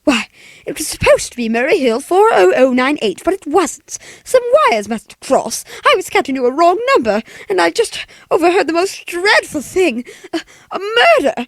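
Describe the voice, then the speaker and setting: A woman's voice: sits high, very animated, normal speed, dry recording. A woman playing an anxious, demanding, frail invalid wife in a 1940s-style radio play, fretful and given to breathless exclamations. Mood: horrified, panicked.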